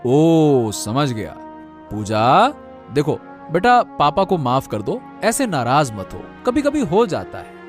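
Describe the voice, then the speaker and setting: Animated, high-voiced village man played by an actor. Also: takes it slowly, soft background music. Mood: concerned. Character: concerned, excitable village man.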